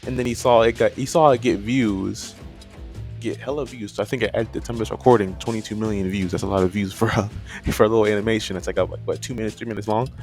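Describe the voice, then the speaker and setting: A man speaks in a fairly low voice over faint background music, giving his casual take as a video creator. He rambles through his points without a script, stuttering and circling back to reword what he has said. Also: fairly even intonation, fast talker, darkish tone. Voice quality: stuttering speech. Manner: rambling, repeats and rephrases himself. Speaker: video creator reviewing horror animation. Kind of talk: casual unscripted opinion commentary.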